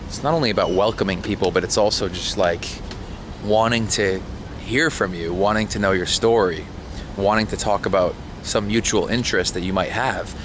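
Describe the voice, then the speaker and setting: A laid-back man talks into his camera on a solo road trip, his voice sitting low. He drops in casual slang and the odd curse. Road and street noise comes through clearly behind him. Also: normal speed. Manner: laid-back, swears casually, says "bro" and "dude". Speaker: travel vlogger. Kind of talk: casual travel vlog with chat.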